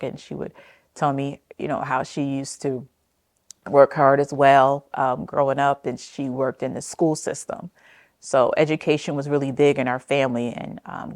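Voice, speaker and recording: An American woman with an exceptionally low-pitched voice shares personal stories as a documentary interviewee. Her delivery is reflective and halting, with frequent pauses. The sound is dry, with very little room on it. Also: vocal fry, no echo. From New Jersey, United States. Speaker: interviewee sharing family history. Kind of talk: personal oral-history storytelling for documentary.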